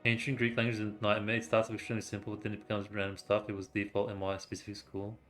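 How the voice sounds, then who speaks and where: A man's voice: fairly low pitch, completely flat delivery, rapid-fire, fairly bright tone, faint music behind. A man, a native Vietnamese speaker, chatting casually and rambling through gameplay commentary on stream.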